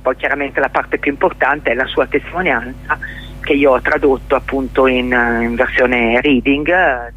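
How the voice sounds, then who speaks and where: A dull telephone line carries the husky voice of a middle-aged woman. She is a guest on a radio interview, talking through her own work in a conversational way. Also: rumbling low, fairly even intonation, normal speed. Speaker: interview guest, journalist and stage performer.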